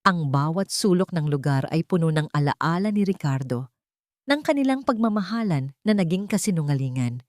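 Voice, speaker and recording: Female narrator for scripted drama, lower register, very little room sound. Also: animated, moderate pace, a little mellow, no room sound, studio quality.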